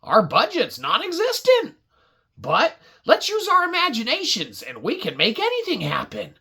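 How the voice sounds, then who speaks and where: A woman hosting an interactive children's story show, animated and exclamatory, reacting big and asking for ideas. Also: a little high, normal speed, breathy edge. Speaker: puppet show host and storyteller.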